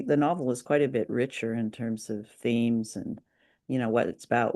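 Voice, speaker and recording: A woman's voice: extremely low pitch, creaky, dark tone. A woman from Canada co-hosting a podcast, talking through a review in a thoughtful, chatty way. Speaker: podcast co-host. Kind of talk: conversational discussion and review.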